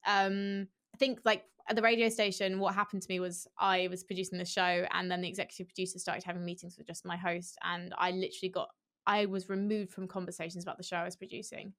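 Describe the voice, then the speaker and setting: Candid young woman on headset call. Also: fairly low pitch. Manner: candid, animated, quick with restarts and fillers. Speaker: esports host, guest. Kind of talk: casual interview chat. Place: small room at home. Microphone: headset on video call.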